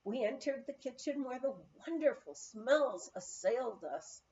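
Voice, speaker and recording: High-voiced woman reading a memoir aloud. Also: expressive, measured pace, a little mellow, dull audio. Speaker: audiobook reader. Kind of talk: scripted narration of a humorous memoir.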